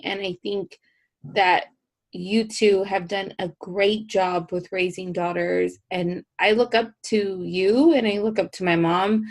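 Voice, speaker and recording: A woman hosting a casual interview show, effusive, warmly thanking and praising her guests. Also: medium pitch. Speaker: podcast host.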